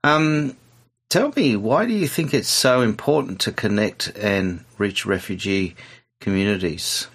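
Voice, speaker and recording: A man's voice: on the low side, measured pace, fairly bright tone, breathy edge. A man hosting a faith-based discussion, speaking hesitantly with many fillers and restarts. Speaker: host.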